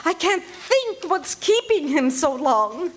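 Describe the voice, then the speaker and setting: A woman's voice: very high-pitched, sing-song, slow, heavily acted. A woman acting a frightened bedridden wife in a live radio play, stammering and sobbing. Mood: frantic. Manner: frantic, stammering, breaks into sobs. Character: frightened bedridden wife, middle-aged, anxious and demanding.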